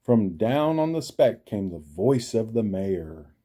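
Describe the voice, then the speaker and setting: A man's voice: on the low side, big pitch swings, slow, warm tone. A man narrating a rhyming children's storybook expressively. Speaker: storybook reader.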